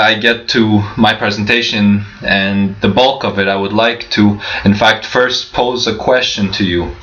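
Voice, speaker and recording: A man's voice: on the low side, sharp tone. A young man giving a prepared keynote speech, earnest, with rhetorical questions and frequent restarts. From Canada. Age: young adult. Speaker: keynote speaker. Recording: dull lo-fi livestream audio.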